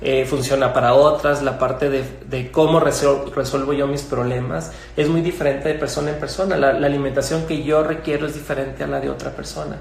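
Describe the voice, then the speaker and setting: A middle-aged man with a Mexican Spanish accent, a gynecologist explaining things in a measured way with fillers and restarts. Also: mid-range voice, little pitch movement, gravelly, a little hiss or hum. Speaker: gynecologist guest. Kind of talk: conversational explanation. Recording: echoey room with faint ambience.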